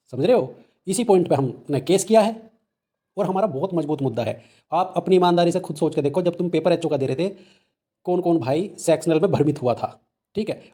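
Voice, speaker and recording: A young man with a North Indian accent speaks in a fairly high voice with a mellow, rounded tone. He explains things informally, straight to his viewers. His delivery is colloquial and insistent, circling back to stress each point. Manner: insistent, colloquial, addresses listeners as brothers, repeats points for emphasis. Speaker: exam-news channel host and candidate activist.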